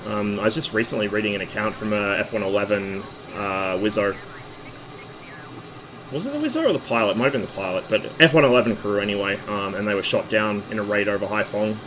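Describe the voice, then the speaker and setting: Man, hoarse voice, low-pitched, easygoing rambling commentary, faint background hum. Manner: relaxed, rambling, with fillers and asides. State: hoarse, losing his voice. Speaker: streamer. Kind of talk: casual flight-sim stream commentary.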